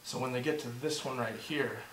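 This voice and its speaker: A man teaching a movement drill step by step, encouraging and repeating himself, slightly hoarse and short of breath. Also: mid-range voice, steady tone, brisk, a bit bright, reverberant, far from the mic, slight background noise, rough recording. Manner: encouraging, repeats "just like that" and "good". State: losing his voice, a bit out of breath. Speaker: instructor. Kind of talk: step-by-step demonstration lesson.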